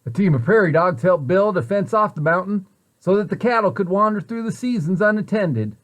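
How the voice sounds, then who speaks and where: A man reads a storybook aloud for children. His voice sits a bit high for a man, and he shapes the sentences expressively and deliberately, like a practiced storyteller. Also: lively intonation. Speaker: site director reading a picture book to children.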